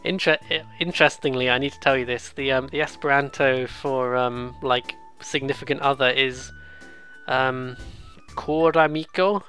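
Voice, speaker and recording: A bright-voiced let's play host at a middling pitch talks casually through a game. The commentary is rambling, jokey and self-deprecating, mixed with lines read off the screen, with some game music underneath. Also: fairly even intonation, moderate pace.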